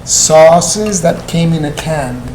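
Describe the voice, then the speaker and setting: A man's voice: fairly high pitch, animated, slow, darkish tone. A man from Mexico with a Mexican accent, a chef talking casually in an interview, hesitant with many fillers. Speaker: chef and restaurant co-owner. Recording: echoey room with background noise.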